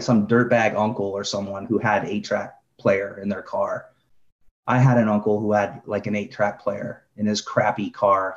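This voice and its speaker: A middle-aged man co-hosting a podcast, debating in casual, slangy, animated storytelling style. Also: fairly low pitch. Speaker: podcast co-host. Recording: roomy, dull lo-fi sound.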